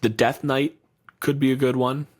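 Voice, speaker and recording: A man's voice: mid-range voice, little pitch movement, normal speed. A man co-hosting a podcast in casual chat, blunt and profane, riffing with lots of energy. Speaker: podcast co-host.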